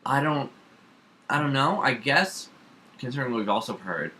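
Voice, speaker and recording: A young man co-hosting a casual chat, quick to interject with his own opinions. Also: mid-range voice, expressive, sharp tone. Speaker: co-host, the host's son. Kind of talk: casual chat about comics. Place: kitchen at home. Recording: faint background noise.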